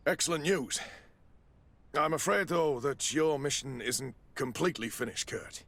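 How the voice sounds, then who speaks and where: A man's voice: medium pitch, expressive, measured pace, a bit bright. Insinuating, formal male official. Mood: businesslike, pressing. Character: smooth-talking, evasive, demanding male official with shady dealings. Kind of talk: video game cutscene acting.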